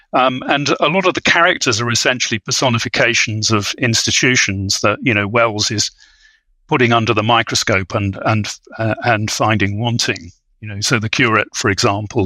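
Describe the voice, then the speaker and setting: Bright-voiced, halting academic man, very dry sound. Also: medium pitch, no room sound, rough recording. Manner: hesitant, halting, erudite phrasing with repeated words. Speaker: academic guest, literature scholar. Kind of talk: scholarly interview discussion.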